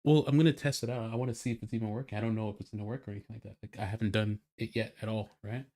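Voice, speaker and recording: A man's voice: on the low side, fairly even intonation, rapid-fire, mellow. A man talking casually and privately in a placating, apologetic manner. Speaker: streamer.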